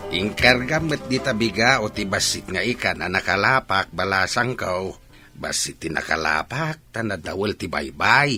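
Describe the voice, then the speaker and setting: A man with an Ilocano accent playing a patient older fisherman father in a radio drama, with a gruff, husky voice. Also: deep voice, expressive, moderate pace, sharp tone, faint music behind, heavily acted. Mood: patient, weary.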